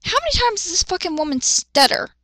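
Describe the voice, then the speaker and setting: A woman's voice: very high-pitched, sing-song, fast, sharp tone, no room sound, studio quality. A woman giving a dramatic reading with exasperated, sarcastic, foul-mouthed asides. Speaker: host of dramatic fan fiction readings.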